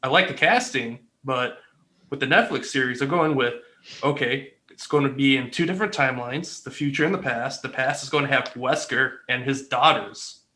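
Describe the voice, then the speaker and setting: A man's voice: mid-range voice, moderate pace, bright, forceful tone. A man speaking casually as a podcast panelist, emphatic and opinionated. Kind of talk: casual panel discussion about movies.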